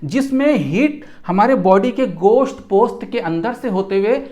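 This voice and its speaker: Instructor explaining, mid-pitched voice. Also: animated, measured pace, mellow. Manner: didactic, rephrases terms in Hindi and English, repeats phrases. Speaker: instructor in physiotherapy and Unani regimenal therapy. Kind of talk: explanatory medical lecture.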